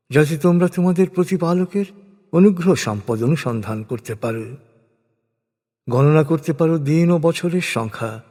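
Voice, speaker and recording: A man reads scripted religious prose as a narrator, solemn and formal, with measured, declamatory phrasing. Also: fairly high pitch, darkish tone. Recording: long reverberant hall-like echo.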